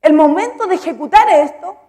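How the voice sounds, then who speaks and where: Woman preacher with a high voice, emphatic and repetitive, asks the congregation questions. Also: expressive, brisk, warm tone. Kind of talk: sermon.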